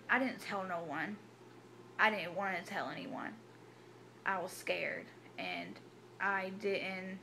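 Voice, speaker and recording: A woman, telling her personal story to camera in a halting, hesitant way with many restarts. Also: medium pitch, pushed and bright, poor audio. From Tennessee. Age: 20s. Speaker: vlogger. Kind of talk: personal confessional vlog.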